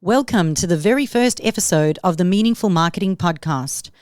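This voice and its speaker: A woman's voice: fairly low pitch, lively intonation, a little fast, darkish tone, no echo. A middle-aged woman with a South African accent, hosting a solo podcast in an upbeat, motivational tone. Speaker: podcast host.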